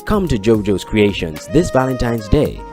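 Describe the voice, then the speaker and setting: A man's voice: fairly low pitch, big pitch swings, moderate pace, over music. A man, announcing a scripted advertisement.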